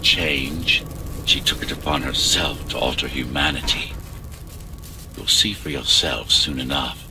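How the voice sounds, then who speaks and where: Very deep, gravelly deposed ruler. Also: male voice, very noisy. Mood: stern, lecturing. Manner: measured, lecturing, imperious. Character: deposed tower ruler, bodiless digital mind, bitter, calculating. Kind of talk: dramatic video game acting. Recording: loud electronic music behind.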